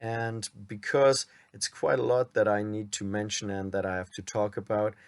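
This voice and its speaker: A man from Germany with a German accent, a channel host reading a prepared script aloud in a measured, somewhat wooden way. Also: lowish voice, little pitch movement, smooth, dry recording. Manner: admits his reading sounds wooden.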